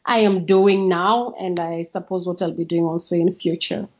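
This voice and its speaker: A woman's voice: fairly low pitch, fairly even intonation, moderate pace, muffled recording. A woman from Kenya, a guest on a podcast, talking casually with laughs and many uhs. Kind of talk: casual interview.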